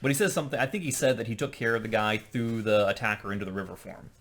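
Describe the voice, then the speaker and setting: A man's voice: lowish voice, very fast, fairly bright tone. A man co-hosting a casual TV recap, summing up plot points in a matter-of-fact way. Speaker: podcast co-host.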